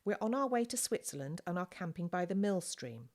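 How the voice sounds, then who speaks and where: A woman's voice: on the low side, big pitch swings, fairly quick, a little mellow, pitched above the performer's own voice. Protective teenage girl, eighteen, female narrator acting, earnest, polite, firm. Audiobook dialogue. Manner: earnest, explanatory.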